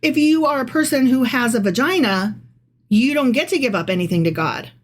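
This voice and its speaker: A woman's voice: sits high, expressive, brisk. Irreverent woman podcaster, casual and blunt. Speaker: podcast co-host. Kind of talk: casual Bible discussion with some reading aloud.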